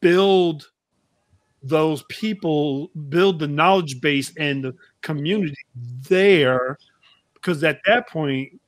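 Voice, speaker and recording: An American man in middle age talks casually as a podcast co-host. His voice sits a little high for a man. The sound is very dry and close, with no room echo. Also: slow. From United States. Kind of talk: casual chat. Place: indoor room, video call.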